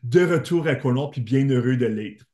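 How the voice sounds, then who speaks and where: Voix d'homme de hauteur moyenne, ontarien, invité enthousiaste et sincère. On entend un écho de pièce marqué, typique d'un appel vidéo. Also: male voice, mid-range voice, lively intonation, moderate pace, dark tone, roomy sound. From Eastern Ontario, Canada. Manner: earnest, enthusiastic storytelling. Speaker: teacher, guest. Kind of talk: interview answers. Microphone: video call.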